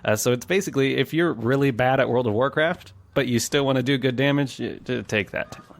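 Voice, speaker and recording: A man's voice: medium pitch, fast talker, vocal fry, a little hiss or hum. A man hosting a casual gaming podcast, chatty and explanatory, with an easy laugh. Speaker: podcast host.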